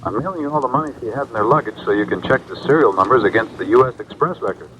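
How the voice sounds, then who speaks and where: A man plays a sympathetic, plain-spoken detective in a vintage radio drama. His voice is medium in pitch. There is faint noise on the recording. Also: racing, lightly acted. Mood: businesslike. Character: earnest, sympathetic male detective, matter-of-fact. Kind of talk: 1970s radio mystery acting.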